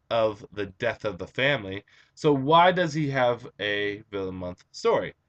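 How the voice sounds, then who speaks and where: Hoarse, raspy young guy for an eager, rambling comic review on a webcam. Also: mid-range voice, fairly bright tone. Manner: enthusiastic, chatty, with frequent um and uh. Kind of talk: casual video review. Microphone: computer webcam mic.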